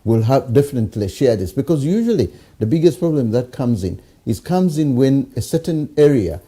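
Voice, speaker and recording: A man's voice: medium pitch, sing-song, a little fast, mellow, slightly rough. A man from Uganda, asking long, searching questions in a conversational interview and often murmuring agreement. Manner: searching, hesitant, frequent backchannel agreement.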